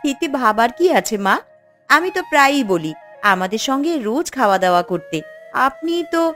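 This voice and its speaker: A woman plays a gentle young daughter-in-law in a village cartoon. She speaks respectfully and soothingly, with lively, swinging intonation. Faint music runs underneath. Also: on the high side, a little fast. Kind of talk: scripted cartoon dialogue.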